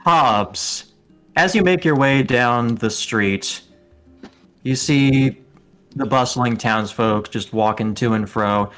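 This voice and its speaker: A man's voice: mid-range voice, slow pace, a bit bright, soft background music. A man acting as game master, narrating scenes and chatting casually with his players.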